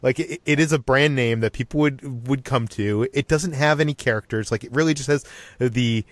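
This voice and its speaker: A man's voice: medium pitch, normal speed, no room sound. A man hosting a casual film podcast, talking informally and restarting sentences as he explains his ideas. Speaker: podcast host.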